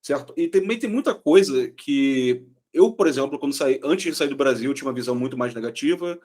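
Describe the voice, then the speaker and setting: A Brazilian man co-hosts a podcast, speaking in an earnest, conversational way in a middle register. His Brazilian Portuguese accent is clear. He checks in with his listeners at the end of his points. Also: mid-range voice, lively intonation, brisk, darkish tone. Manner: earnest, ends points with "certo?". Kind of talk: casual interview chat.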